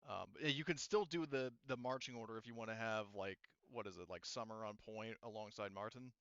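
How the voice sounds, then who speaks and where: A man runs an online tabletop game as its game master, working things out aloud step by step. His voice is bright and resonant, picked up dry on a close mic with slightly muffled chat audio. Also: fairly low pitch, brisk, clean tone, no echo.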